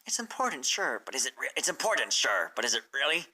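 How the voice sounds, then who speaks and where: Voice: medium pitch, very animated, fast, bright, forceful tone, husky, exaggerated voice. Sprecher spielt einen kecken jungen Drachen. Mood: reluctant. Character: cocky, defensive young male dragon assistant.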